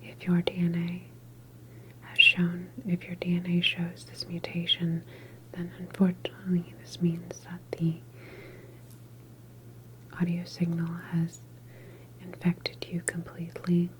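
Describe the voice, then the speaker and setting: A woman whispers slowly through an ASMR roleplay as a calm doctor. Her delivery is deliberate and clinical, reassuring the patient as she explains each step. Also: on the low side, low-quality recording. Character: calm female government doctor examining a patient.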